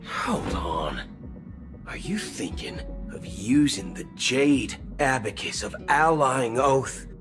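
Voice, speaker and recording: A man voicing a brash, folksy, excitable video game character with a colloquial, emphatic delivery. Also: medium pitch, takes it slowly. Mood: urgent concern. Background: game soundtrack music.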